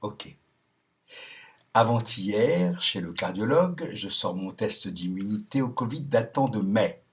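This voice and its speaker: A man with a slightly low voice talks through a casual monologue, reading out messages and commenting on them. He sounds sardonic and chuckles often between remarks. His talk is hesitant, full of ums and restarts. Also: animated, slow. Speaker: video commentator.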